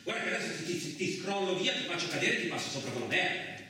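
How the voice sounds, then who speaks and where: A man's voice: sits high, sing-song, quick pace, pushed and bright, heavily acted. A man playing a boastful, hot-tempered truck driver, in a colloquial theatrical radio monologue full of muttered asides. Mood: angry, exasperated. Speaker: actor. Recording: very echoey, distant, lightly noisy room.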